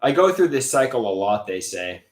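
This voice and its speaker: Guy on a livestream answering chat, bit congested, stammers and restarts a lot, pitch jumps around, roomy echo. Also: big pitch swings. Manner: halting, stammering restarts, trailing off quietly. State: slightly congested voice. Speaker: livestream host reading chat.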